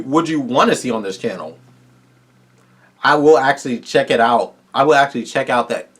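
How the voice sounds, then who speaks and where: A man's voice: medium pitch, fairly bright tone. A man hosting his own video channel, wrapping up a casual Q&A in a tired, strained voice as he rambles eagerly to his viewers. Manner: rambling, eager fan talk with restarts. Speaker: YouTuber host.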